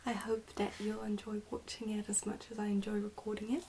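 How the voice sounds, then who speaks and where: Soft whispery ASMR woman reading aloud. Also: mid-range voice, flat delivery, a little fast, slight background noise. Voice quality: soft, breathy, often whispery. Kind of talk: soft ASMR storybook narration.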